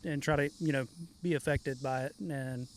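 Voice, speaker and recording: A man in a band explaining his songwriting process in a thoughtful, measured way. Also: medium pitch, steady tone, slight background noise. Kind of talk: casual chat.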